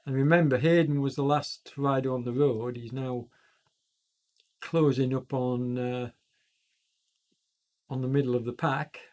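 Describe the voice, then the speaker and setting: A man's voice: mid-range voice, fairly even intonation, measured pace, long pauses, darkish tone. Congested man commentating a virtual bike race. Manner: rambling, self-correcting, many fillers. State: congested with a chest cold. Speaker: e-racing commentator and streamer.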